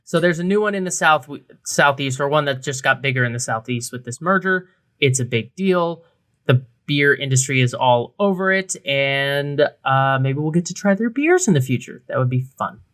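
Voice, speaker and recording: A man's voice: mid-range voice, animated, moderate pace, a bit bright. A man co-hosting a podcast in a casual, informal, conversational way. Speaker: podcast co-host.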